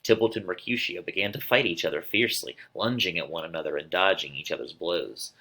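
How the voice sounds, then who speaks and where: A man acts out an earnest young nobleman in a dramatic reading. His voice is deep yet sharp. He declaims sincerely, as a loyal friend would. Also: a little fast, exaggerated voice, rough recording.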